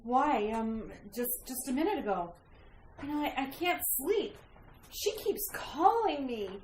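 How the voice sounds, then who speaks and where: A woman's voice: high voice, animated, measured pace, a little mellow. A woman playing a frail, anxious young wife who is haunted, in dramatic retro radio mystery style. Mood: haunted, pleading. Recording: roomy echo, noticeable background noise.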